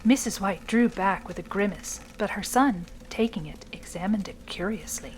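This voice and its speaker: A woman podcast host and story narrator with a mid-pitched voice. The recording carries faint background noise. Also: normal speed, low-quality recording.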